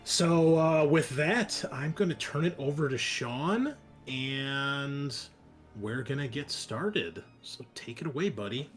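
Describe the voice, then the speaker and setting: A man's voice: medium pitch, expressive, slow pace, soft background music. A man chatting casually as a tabletop game player, describing his character and what he does.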